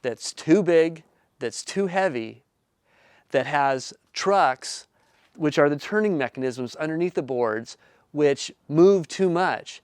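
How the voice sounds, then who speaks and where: A man in his fifties, a company founder earnestly explaining his invention in a pitch video, drawing out key words as he talks. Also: lively intonation, slow pace, smooth, no room sound, clean studio sound. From Southern California. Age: 50s. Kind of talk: pitch video talk to camera.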